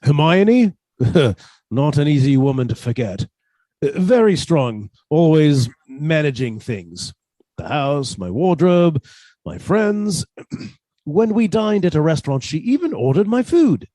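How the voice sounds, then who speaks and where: An actor playing a mild, henpecked older botany professor with a halting, polite manner in a radio suspense drama. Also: male voice, fairly high pitch, very animated, warm tone, no room sound. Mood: quietly scheming.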